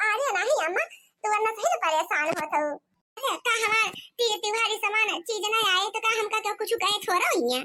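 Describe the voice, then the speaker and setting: A woman's voice: very high-pitched, brisk, pushed and bright. A woman voicing a meek, long-suffering village daughter-in-law in an Awadhi accent, plaintive, for a cartoon comedy. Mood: reassuring.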